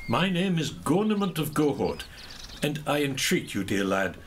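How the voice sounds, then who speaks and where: A man's voice: a little high, sing-song, a little hiss or hum. Guy playing an older knight teacher, kind and courtly, voice swings up and down a lot, medieval radio play. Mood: kindly, welcoming. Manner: measured, instructive, courtly. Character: kindly, courteous male knight mentor, older. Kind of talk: audio drama acting of a medieval legend.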